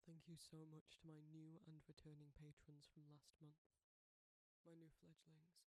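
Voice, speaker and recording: Flat, gravelly audio creator. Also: medium pitch, normal speed, dry recording, rough recording. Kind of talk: scripted channel outro.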